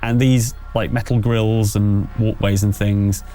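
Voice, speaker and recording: Guy game mastering a sci-fi horror rpg, chatty stop-start narration, soft music under it. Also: lowish voice, level tone. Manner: halting, conversational, pieced-together descriptions.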